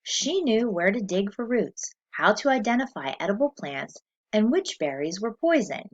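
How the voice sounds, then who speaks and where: A woman teacher reading a lesson aloud to children, slow and clear, pausing so they can answer. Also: medium pitch, big pitch swings, highs cut off.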